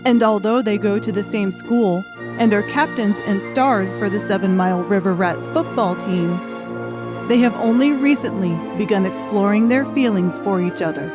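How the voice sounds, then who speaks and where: A woman's voice: mid-range voice, normal speed. A woman reading a scripted audiobook story as a dramatic narrator. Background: music.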